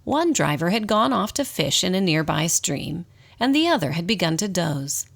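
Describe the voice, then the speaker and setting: A woman narrating a scripted radio drama in an expressive storybook style. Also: fairly low pitch, moderate pace, creaky.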